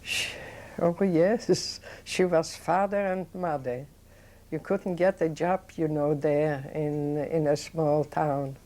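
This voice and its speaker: An older woman, recalling her childhood in an oral history interview, talking in a halting, reflective way with many restarts. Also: deep voice, fairly even intonation, mellow. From Poland. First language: Yiddish. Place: indoors, seated on a sofa.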